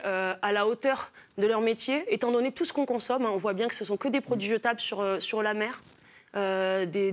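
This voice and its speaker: A woman from Marseille, a philosopher and author, explaining her ideas as a talk show guest with many hesitations. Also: medium pitch. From Marseille, France. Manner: thoughtful, many hesitations with euh. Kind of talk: studio interview.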